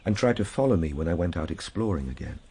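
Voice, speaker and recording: An actor playing an earnest, reflective gentleman time traveller in a radio drama, moving between measured storytelling and simple, tender coaxing. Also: low-pitched, animated, gravelly, breathy edge, full character voice. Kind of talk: radio drama narration and acting.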